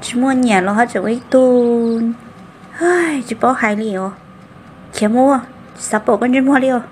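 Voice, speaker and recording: A woman's voice: a little high, measured pace, a little mellow, a little hiss or hum, lightly acted. A woman playing a female character in a folk-tale cartoon about a family. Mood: conversational.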